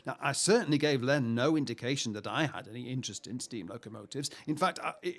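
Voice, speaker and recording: An actor playing a talkative young 1950s husband in a naturalistic audio drama, dry and exasperated in manner. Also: male voice, mid-range voice, vocal fry. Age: young adult. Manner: dry, exasperated, deliberate repetition for comic effect.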